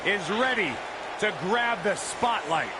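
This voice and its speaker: A man's voice: sits high, animated, slow pace. A man voicing a brash wrestling commentator in a video game, hyping the entrants and mocking his partner. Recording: game audio over loud crowd and noise.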